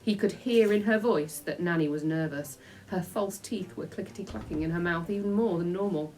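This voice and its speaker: A woman reading a children's book aloud in an expressive, dramatic storytelling style. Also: on the low side, normal speed, poor audio. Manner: animated, dramatic. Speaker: storybook reader. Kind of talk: expressive children's audiobook narration.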